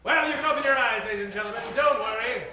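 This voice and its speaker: A man's voice: very high-pitched, quick pace, heavy background noise. A man hosting and narrating a radio comedy show in a grandiose, dramatic old-time announcer style. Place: live stage performance, roomy. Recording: echoey stage from far off, music behind.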